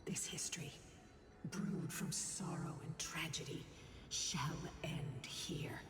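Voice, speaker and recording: An actor playing a cryptic, ominous narrator who passes judgment on humanity in a formal, portentous game voice. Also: male voice, on the high side, slow pace, in a whisper. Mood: ominous, solemn. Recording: distant, echoing game audio over music.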